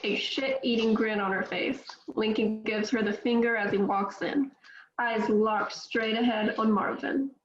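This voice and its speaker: A woman with a somewhat high voice reads stage directions in a plain, measured way. The recording is roomy and a bit dull, with a faint hiss underneath. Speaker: narrator reading stage directions. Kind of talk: table read narration.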